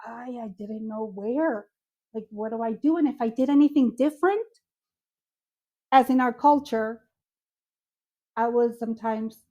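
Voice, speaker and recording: Mexican-born woman, lively storytelling. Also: a little high, a little slow, pauses often, highs cut off. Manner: animated storytelling, drops Spanish phrases. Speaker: guest. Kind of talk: casual podcast chat.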